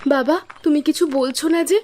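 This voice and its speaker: A woman's voice: sits high, fairly even intonation, normal speed, a little mellow, soft background music, natural voice. A woman voicing a worried young married daughter in a cartoon story, speaking in an earnest, pleading way. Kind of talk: cartoon voice acting.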